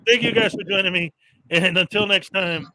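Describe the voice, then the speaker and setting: A man's voice: high-pitched, moderate pace, noisy background. A man hosting a casual livestream show, upbeat and rambling, with lots of fillers. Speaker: livestream host.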